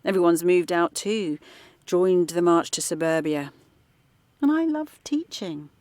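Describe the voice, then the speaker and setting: An actress playing a middle-aged former activist turned art teacher from London, talking softly late at night in a radio drama. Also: female voice, fairly low pitch, expressive, measured pace, no echo. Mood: reflective. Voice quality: soft, hushed late-night talk. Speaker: character in audio drama.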